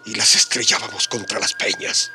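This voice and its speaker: An actor playing a gravely wounded, repentant conquistador soldier in a radio drama, with halting, labored speech. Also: male voice, lowish voice, little pitch movement, pushed and bright. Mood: tormented, remorseful. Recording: radio drama with music behind.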